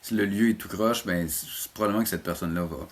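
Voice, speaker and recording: A mature man with a Québécois French accent, speaking informally and haltingly as a guest in a casual interview. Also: on the low side. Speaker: interview guest, animation teacher and program coordinator.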